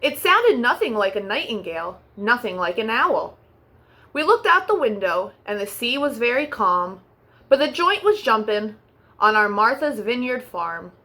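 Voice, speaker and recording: A woman hosting a children's storytime, reading a rhyming picture book aloud in an expressive, sing-song way. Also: a little high, a little slow.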